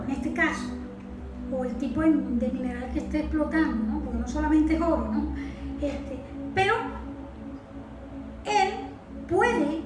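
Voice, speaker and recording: A woman with a high voice and a Venezuelan accent explains as an interview guest, didactic and emphatic. She sounds distant from the microphone in an echoey room, with music clearly audible behind her. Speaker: interview guest, environmental consultant.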